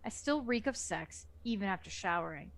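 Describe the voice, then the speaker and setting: A woman's voice: medium pitch, animated, moderate pace. Woman reading a novel aloud. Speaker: book club reader.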